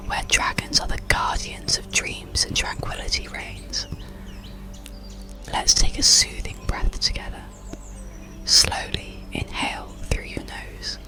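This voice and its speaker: A storyteller speaks in an unvoiced, breathy whisper with a crackly edge. The delivery is very slow and gentle, made for soothing bedtime ASMR stories and guided relaxation. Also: deep voice.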